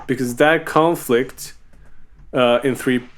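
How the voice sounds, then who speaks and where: A man hosting a casual group Q&A, speaking in a halting, measured way. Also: mid-range voice, fairly even intonation, a bit bright. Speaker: Q&A host reading fan questions, music video maker. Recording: noisy, sub-par online group chat audio.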